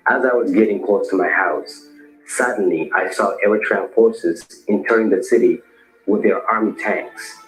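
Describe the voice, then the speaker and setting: A man playing a twenty-six-year-old, reading a scripted first-person account in a plain, even way. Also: on the low side, moderate pace, lightly acted. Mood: somber. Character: young man of twenty-six, shot in the street. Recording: echoey room with faint music.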